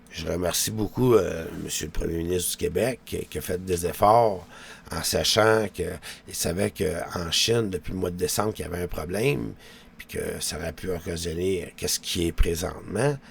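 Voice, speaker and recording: A man with a Quebec French accent talks casually to his viewers in a low, creaky voice. His delivery rambles and is very colloquial, peppered with small tags. There is noticeable noise behind him. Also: normal speed. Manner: colloquial, with frequent verbal tags. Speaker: vlogger.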